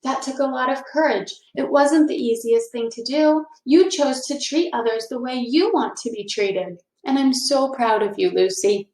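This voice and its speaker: Lady reading as a proud gentle papa in a storybook, measured and reassuring, roomy sound. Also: high voice, distant mic. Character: Papa Gino, gentle proud fatherly man.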